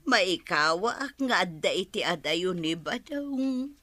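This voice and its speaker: A woman's voice: fairly low pitch, animated, takes it slowly, pushed and bright, dry recording, exaggerated voice. A woman with an Ilocano accent playing a plain-spoken, struggling village wife in a radio drama. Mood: resigned.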